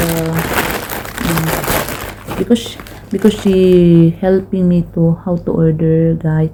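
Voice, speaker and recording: A young Filipino woman talking casually through an unboxing, halting with many fillers and switching between Tagalog and English. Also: low-pitched, noisy background. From Philippines. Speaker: vlogger.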